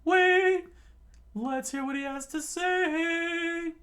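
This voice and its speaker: A man voicing a hot-headed, defiant young male cook, yelling in a shrill falsetto. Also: extremely slow, exaggerated voice.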